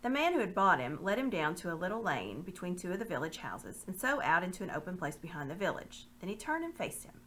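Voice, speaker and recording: A woman reading a children's novel aloud with expressive, measured narration. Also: lowish voice, a little hiss or hum. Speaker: reader.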